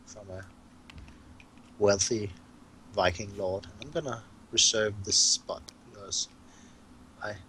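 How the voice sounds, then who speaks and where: A man from Denmark with a Danish accent, a game designer chatting casually and haltingly while playing a board game. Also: lowish voice, bright, forceful tone, a little hiss or hum, low-quality recording.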